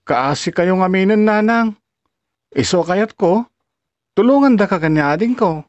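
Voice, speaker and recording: A woman's voice: medium pitch, lively intonation, unhurried, darkish tone, muffled recording, natural voice. A woman playing a dutiful, caring child pleading with the parents in an Ilocano audio drama, with an Ilocano accent. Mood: earnest, caring. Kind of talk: Ilocano radio-style drama acting.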